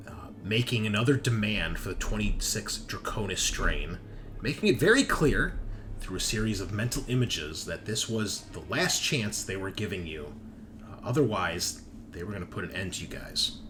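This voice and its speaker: Man game master with noisy background. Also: on the low side. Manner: profane, bantering. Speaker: game master and host of tabletop RPG show. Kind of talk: casual chat and session recap.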